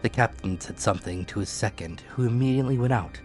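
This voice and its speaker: A man's voice: medium pitch, quick pace, a little mellow. A man giving a dramatic scripted audiobook narration in the first person. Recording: echoey room, music behind. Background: music.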